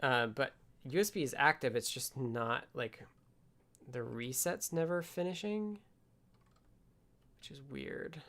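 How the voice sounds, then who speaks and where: A man with a creaky, gravel-edged voice at a middling pitch, hosting a casual programming livestream. He thinks aloud in halting bursts, often muttering to himself as he works at his desk. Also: animated, fairly bright tone. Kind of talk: casual live coding stream, thinking aloud. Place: indoors at a desk with computer.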